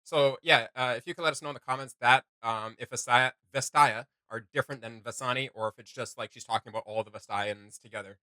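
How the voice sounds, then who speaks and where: Casual male reaction host, mid-pitched, rambling and keen, recorded dry. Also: fairly even intonation, fast, hardly any pauses, sharp tone, dry recording. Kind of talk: casual fan commentary.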